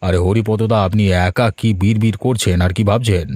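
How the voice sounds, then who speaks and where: A man's voice: lowish voice, fairly even intonation, fairly quick, a little mellow, no room sound, studio quality, lightly acted. Courteous old village landlord played by a man. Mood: gracious. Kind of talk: animated cartoon voice acting. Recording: faint music behind the voice.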